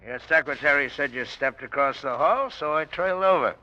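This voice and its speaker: An actor playing an insinuating, uninvited acquaintance in a 1940s radio drama, with a needling manner. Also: male voice, a little high, a little fast, pushed and bright. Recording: dull vintage radio recording.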